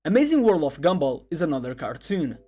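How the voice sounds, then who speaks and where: Man narrating with lively, swinging pitch. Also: fairly quick. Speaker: video essay narrator. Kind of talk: scripted narration about internet horror videos. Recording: music under the voice. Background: background music.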